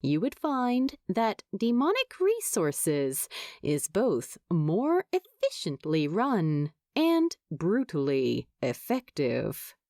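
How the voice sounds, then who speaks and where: A woman reading a story aloud as an expressive, wry narrator. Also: lowish voice, slow pace, no echo, studio quality. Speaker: fan fiction narrator.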